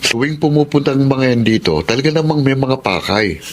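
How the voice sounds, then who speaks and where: An actor as an easygoing young Filipino brother in a radio drama. He reasons casually in a mid-pitched voice, with faint ambience behind. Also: animated, a little fast, a little hiss or hum, natural voice. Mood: calm, teasing. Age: young adult.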